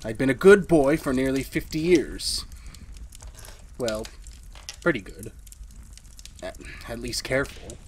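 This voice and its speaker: A man's voice: mid-range voice, slight background noise, natural voice. A man acting a fretful, henpecked husband in a retro radio drama, hesitant and confiding. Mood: wary confiding. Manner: nervous, hesitant, aggrieved. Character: henpecked, fretful, very long-lived husband of a witch. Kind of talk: retro 1940s radio drama, confiding first-person narration.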